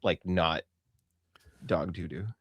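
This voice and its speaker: Low-pitched Canadian man, casual and emphatic. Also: fairly even intonation, slow, husky, no echo. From Saskatchewan, Canada. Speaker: podcast co-host. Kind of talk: casual chat.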